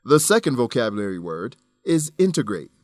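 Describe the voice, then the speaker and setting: A man reading scripted educational narration for an English lesson, enunciating clearly in an instructional manner. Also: mid-range voice, big pitch swings, fairly quick, no room sound, clean studio sound, faint music behind. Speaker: narrator of an English-teaching radio program.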